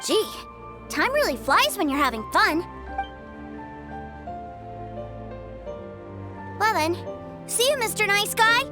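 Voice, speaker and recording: A woman's voice: extremely high pitch, sing-song, normal speed. A woman voicing an innocent, chatty, eager little boy with a childlike voice in a video game. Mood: cheerful farewell. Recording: music behind the voice. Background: music.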